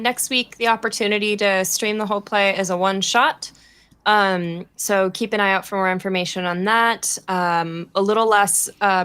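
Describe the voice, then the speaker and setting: A woman's voice: mid-range voice, fairly bright tone, clean tone. A woman co-hosting a live stream over a video call, chatting in an informal, earnest way. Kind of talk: casual live chat.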